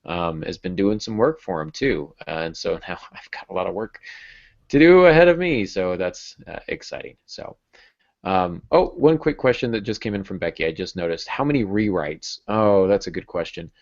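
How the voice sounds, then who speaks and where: A man's voice: sits low, lively intonation, moderate pace, dry recording. A middle-aged American man chatting casually and jokingly as a podcast host, recorded over a video call. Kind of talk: casual conversational podcast chat. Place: small home room with bookshelf. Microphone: earbud headset on video call. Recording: dull, compressed video-call audio.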